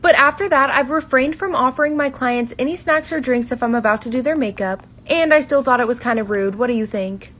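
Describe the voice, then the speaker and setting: A woman's voice: high-pitched, fairly quick, few pauses, fairly bright tone. A woman narrating a scripted personal story in an orderly, explanatory way. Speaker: makeup artist.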